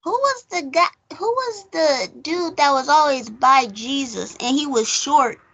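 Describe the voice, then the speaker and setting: A woman's voice: high-pitched, expressive, a little slow, fairly bright tone. A woman hosting a casual film discussion, rambling conversationally and thinking aloud as she goes. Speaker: host of a film recap show.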